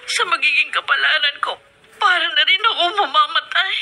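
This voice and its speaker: An actress playing an emotional, grieving young daughter in a Filipino radio drama. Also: female voice, sits high, moderate pace, pushed and bright, exaggerated voice. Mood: tearful, despairing. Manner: tearful, emotional delivery. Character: grief-stricken young daughter, weepy yet defiant. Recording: radio drama with faint noise.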